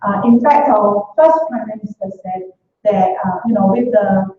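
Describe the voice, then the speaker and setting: A woman's voice: mid-range voice, normal speed, slight background noise. A woman from Singapore, giving a conference talk as an education researcher, hesitant with many fillers and restarts. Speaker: education researcher presenting at a workshop. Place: lecture room. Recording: echoey hall from far off, dull sound.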